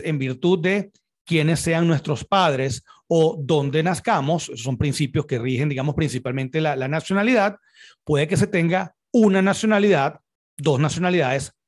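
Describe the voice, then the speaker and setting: A man, giving an informal legal lesson to camera with frequent fillers and self-corrections, into a boom-arm studio mic. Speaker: law lecturer. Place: small studio with a plain backdrop. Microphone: orange foam-covered mic on a boom arm.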